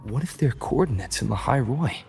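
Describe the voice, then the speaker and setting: A man playing a calm, resolute game hero, speaking in a measured and sincere way. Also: medium pitch, vocal fry, soft background music. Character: calm, resolute male hero, respected mentor. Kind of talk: scripted game dialogue.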